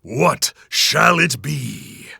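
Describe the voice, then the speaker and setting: An actor playing a pompous, scheming rich arena master who announces in a grandiose, drawn-out way in a video game. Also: male voice, on the high side, expressive, creaky, sharp tone, slightly rough, full character voice. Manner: theatrical, grandiose, drawn-out delivery.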